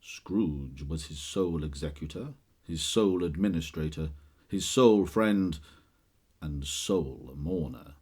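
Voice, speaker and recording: An actor playing a grave storyteller, delivering deliberate, emphatic scripted narration for an audio drama in a husky voice. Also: male voice, extremely low pitch, darkish tone, exaggerated voice. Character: grave Victorian storyteller narrating a ghost tale.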